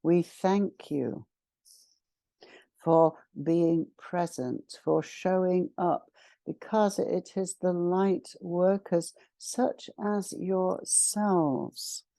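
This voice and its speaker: Female meditation guide: extremely slow, solemn and ceremonial, soft-edged mellow tone, long pauses between phrases. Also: on the low side, dry recording. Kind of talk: guided meditation, spiritual invocation and channelled message.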